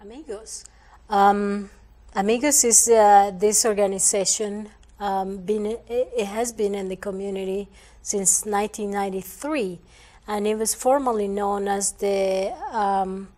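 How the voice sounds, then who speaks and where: A woman from El Salvador, a guest on a studio panel, explaining slowly and haltingly with many fillers. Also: medium pitch, steady tone, warm tone. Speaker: community organization guest. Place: TV studio, panel at a long table.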